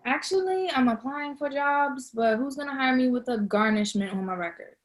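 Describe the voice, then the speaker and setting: A woman's voice: high-pitched, moderate pace, close to an everyday voice. A woman playing an anxious, broke and dramatic young woman with a sarcastic, slangy manner in a comedy table read.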